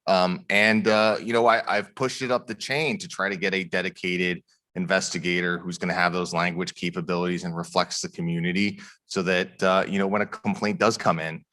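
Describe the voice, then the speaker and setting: A man from a prosecutor's office answering questions at a community forum in an earnest, measured way, with many fillers and restarts. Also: deep voice, steady tone, bright, forceful tone. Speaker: district attorney's office official.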